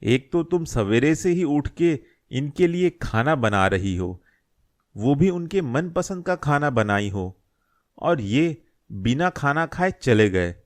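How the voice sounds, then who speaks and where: An elderly grandfather from a cartoon moral tale, acted by a man. He speaks slowly and plainly at a middling pitch. He is a hurt, grumbling shopkeeper who feels let down by his son. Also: lively intonation. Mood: aggrieved. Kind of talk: Hindi moral-story cartoon dialogue.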